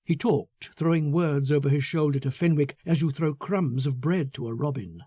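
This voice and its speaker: A man narrating a literary story as an audiobook, dramatic, deliberate and intense in his delivery. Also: a little high, dark tone, breathy edge, no echo, studio quality.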